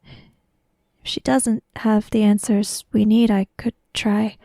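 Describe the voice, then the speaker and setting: A woman playing a hesitant, polite young woman who speaks to spirits, in halting, soft, formal speech for a tabletop roleplay. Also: mid-range voice, little pitch movement, vocal fry, dry recording, clean studio sound. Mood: hesitant, earnest.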